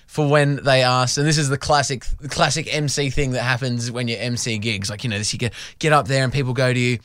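A man's voice: mid-range voice, quick pace, clear, resonant tone, dry recording. A young Australian man co-hosting a radio show, telling embarrassing stories with self-mocking humor. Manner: self-mocking storyteller, animated. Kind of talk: casual radio banter. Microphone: studio microphone.

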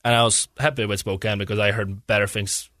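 A man's voice: lowish voice, fairly even intonation, a little fast, sharp tone, no echo. Young man, English, earnest and rambling, dry close sound. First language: Czech. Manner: searches for words in a second language, rambling and earnest. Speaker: guest, hockey player. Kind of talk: casual interview.

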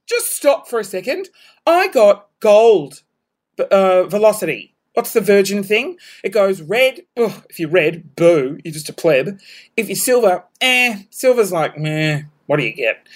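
A young Australian woman hosting her podcast at home, her voice worn hoarse, chatting in a rambling, self-mocking way with scattered asides. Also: lowish voice. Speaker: podcast host. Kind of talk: casual solo podcast chat. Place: home room, balcony door open.

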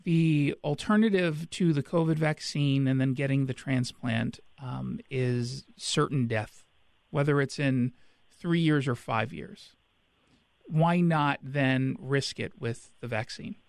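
A man's voice: medium pitch, takes it slowly, gravelly, no echo. A man hosting a talk radio show, delivering an indignant, sarcastic monologue.

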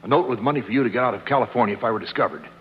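An actor playing a steady, resolute young avenger with an American accent in a 1950s radio adventure. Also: male voice, medium pitch, very fast, breathy edge. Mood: urgent. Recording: dull 1950s radio recording, music behind.

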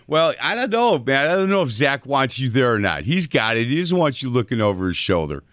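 A man's voice: medium pitch, very animated, brisk, fairly bright tone, no echo. A man hosting a home improvement radio show, chatting upbeat with guests and working in filler phrases. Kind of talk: casual radio talk. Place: radio studio.

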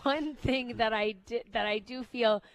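A woman with a fairly high voice hosts a radio show. She talks in loose, casual banter with a playful, teasing manner. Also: a little slow.

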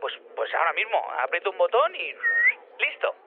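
A man playing an excitable, scatterbrained inventor who rambles, stammers and repeats himself over a phone link. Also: sits high, brisk. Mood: giddy, amazed. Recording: narrow phone-like radio link.

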